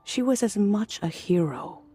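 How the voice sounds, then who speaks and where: A woman playing a wistful, kindly elderly immortal musician in a video game, speaking in a gentle, measured, reminiscing way. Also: medium pitch, animated, faint music behind, close to an everyday voice. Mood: reverent, reflective. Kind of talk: video game cutscene acting.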